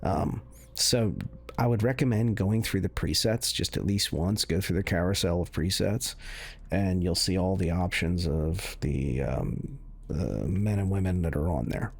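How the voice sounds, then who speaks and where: A man giving casual game walkthrough commentary in a halting, rambling way, with a gravelly, husky voice. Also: low voice. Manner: halting, rambling, hedging delivery. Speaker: gaming video host.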